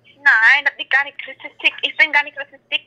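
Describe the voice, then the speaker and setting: Woman caller, very bright piercing voice, broken German, playful and giggly. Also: high voice, fairly even intonation, fairly quick, rough recording. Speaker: caller in a random chat. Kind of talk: casual phone chat.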